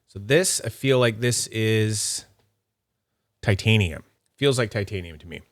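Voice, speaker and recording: A man's voice: lowish voice, expressive, unhurried, no echo, studio quality. A man giving casual unboxing commentary, chatty and upbeat, thinking aloud as he handles and inspects small items. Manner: nerdy and upbeat. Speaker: YouTube fidget toy reviewer.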